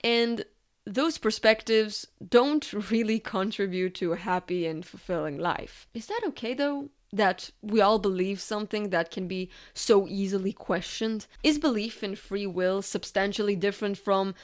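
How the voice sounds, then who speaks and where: A woman's voice: mid-range voice, normal speed. A woman delivering scripted video essay narration in a reflective manner, often posing rhetorical questions.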